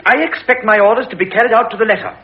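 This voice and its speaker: A man's voice: sits high, very fast, natural voice. An actor playing a proud, stern king in a vintage radio drama. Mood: indignant, shaken. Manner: regal, imperious. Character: proud, stern French king demanding obedience. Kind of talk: 1950s British radio drama acting. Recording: muffled vintage radio recording, noisy.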